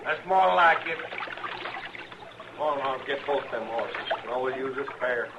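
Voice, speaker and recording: A mocking outlaw who drawls his threats very slowly in a somewhat high voice. The sound is roomy and echoey, with steady hiss like an old radio play. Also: lo-fi sound. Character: sneering male outlaw horse thief. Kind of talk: 1950s radio drama acting.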